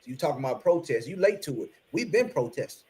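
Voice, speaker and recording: A man's voice: a little high, expressive, fast, darkish tone, slight background noise. A man on an online panel discussion, talking in a blunt and preachy way and repeating lines for emphasis. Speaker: panel member.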